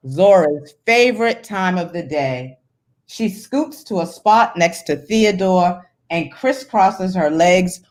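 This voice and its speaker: A woman's voice: on the low side, a little mellow. A woman, a children's book author, who chats casually as a guest and reads storybooks aloud. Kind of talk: casual interview talk, then storybook reading.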